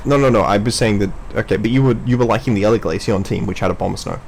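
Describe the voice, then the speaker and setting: A man's voice: lowish voice, racing, gravelly, a little mellow, slight background noise. A man hosting a casual, unscripted gaming chat, with a teasing manner and frequent chuckles. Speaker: host of a Pokémon draft analysis video.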